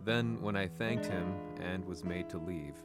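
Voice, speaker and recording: Low-voiced tired reporter narrating a game, calm and reflective, loud guitar music behind. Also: male voice. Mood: brooding. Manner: measured, reflective first-person telling. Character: weary male investigative journalist. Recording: over loud guitar score.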